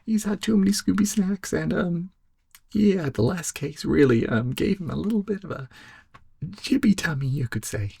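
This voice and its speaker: Casting: male performer as goofy, timid young stoner detective for ASMR roleplay; high-set cracking drawl, mellow and close, dry sound. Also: expressive, moderate pace, creaky, breathy edge, no echo, exaggerated voice. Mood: hazy, apologetic. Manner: rambling, hesitant stoner drawl, tagging sentences with "man". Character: laid-back, cowardly, goofy young male amateur sleuth. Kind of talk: soft-spoken ASMR roleplay.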